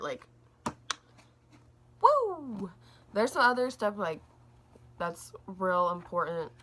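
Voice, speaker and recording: A young woman reviewing a book in a casual, rambling vlog, her throat a little scratchy and gravelly, with lots of fillers and restarts. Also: on the low side, fairly bright tone. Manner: rambling, says like a lot, restarts often.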